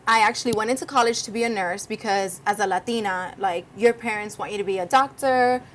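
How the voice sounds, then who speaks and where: A woman's voice: mid-range voice, brisk, a little hiss or hum. A young woman from Cuba, a guest co-host, chatting casually and animatedly, often laughing. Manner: animated, laughs often, acts out voices in stories. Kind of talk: casual interview chat.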